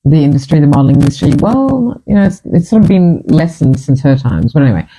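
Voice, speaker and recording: A woman's voice: sits low, big pitch swings, fast talker, warm tone, a little hiss or hum, poor audio. A woman from Fiji in her fifties, hosting a casual chat and telling her stories in a chatty, dramatic, self-mocking way. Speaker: host.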